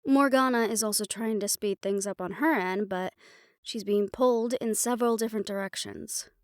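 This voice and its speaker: A woman's voice: medium pitch, moderate pace, no echo, clean studio sound, lightly acted. A woman playing a confident, scheming female magic researcher in a scripted fantasy audio roleplay. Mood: confident, brisk.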